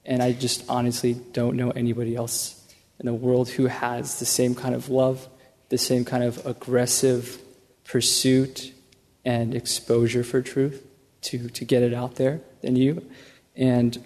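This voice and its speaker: A man in the audience speaks earnestly and stops often to restart his sentences. His delivery stays flat, with little rise or fall in pitch. His voice echoes through a large hall with long reverb. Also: a little slow. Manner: earnest, hesitant, many restarts. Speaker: audience member asking a question. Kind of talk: questions from the floor. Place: large church auditorium.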